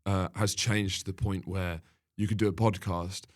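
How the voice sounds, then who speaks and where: Low-voiced man chatting wryly. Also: steady tone, moderate pace. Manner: wry, bantering, laughs easily. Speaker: podcast guest, comedian. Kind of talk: casual conversational chat.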